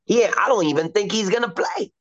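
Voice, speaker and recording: A middle-aged man from Trinidad and Tobago, a soccer coach talking as a guest in a relaxed, rambling way with lots of fillers. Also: high-pitched, brisk. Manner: easygoing, rambling with many fillers. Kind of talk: casual interview chat. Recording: dull lo-fi recording.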